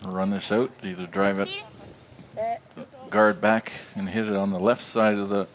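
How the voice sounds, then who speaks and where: A man giving live curling commentary with animated play-by-play calls. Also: lowish voice, unhurried. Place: curling arena. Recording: arena crowd noise behind.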